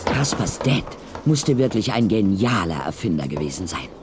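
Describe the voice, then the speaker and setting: A man's voice: low-pitched, sing-song, moderate pace. A man narrating a German audio drama in a dramatic storytelling style. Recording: music behind.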